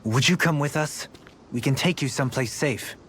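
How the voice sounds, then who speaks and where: An actor playing a refined, solemn nobleman in a video game, speaking in a measured, formal way. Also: medium pitch, fairly bright tone, a little hiss or hum, natural voice. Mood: solemn reflection. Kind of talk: dramatic video game acting.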